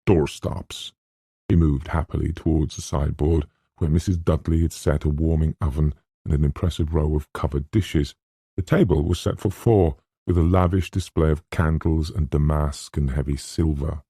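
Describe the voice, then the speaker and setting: A man's voice: rumbling low, fairly even intonation, moderate pace, dark tone, husky, no echo, studio quality. A man reading literary narration from a script as an audiobook narrator.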